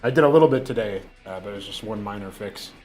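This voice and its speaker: A man's voice: on the low side, fast talker, a little mellow, soft background music. A young man chatting casually on a livestream, rambling with fillers and restarts, with a lingering cough. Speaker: streamer.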